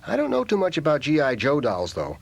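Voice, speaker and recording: A gentle American grown-up in a children's audio drama, anxious to be liked. His voice is husky and lively in pitch, with a hesitant, friendly delivery. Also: a little fast, highs cut off, heavily acted. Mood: friendly, awkward. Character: Watson, mild eager-to-please American man courting a mother.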